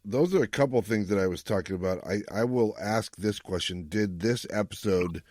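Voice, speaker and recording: A man's voice: fairly low pitch, moderate pace, darkish tone, no echo, studio quality. A man hosting a podcast in casual chat, with a jokey, conversational manner. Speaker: podcast host.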